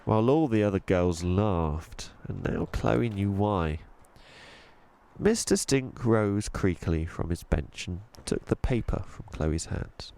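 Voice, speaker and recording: A man narrating a children's audiobook in an expressive storytelling style. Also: deep voice, takes it slowly, vocal fry.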